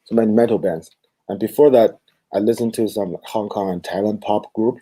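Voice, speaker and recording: Halting, dark-voiced Chinese man. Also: lowish voice, steady tone, breathy edge. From China. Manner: halting, searching for words. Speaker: guest. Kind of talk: video call interview. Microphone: video call.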